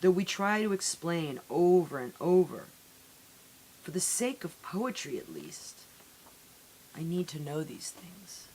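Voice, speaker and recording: Slow-paced woman reciting poetry over faint hiss. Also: fairly low pitch, big pitch swings, a little mellow, rough recording. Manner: deliberate, measured phrasing with dramatic pauses. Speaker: poetry reader. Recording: amateur recording with audible hiss.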